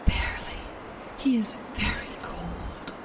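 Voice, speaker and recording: An actress playing the Wind as a solemn, breathy female elemental spirit in a horror audio drama, speaking in short phrases. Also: distant mic, close to an everyday voice. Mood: grave. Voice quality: breathy, whispery. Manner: slow, terse, solemn.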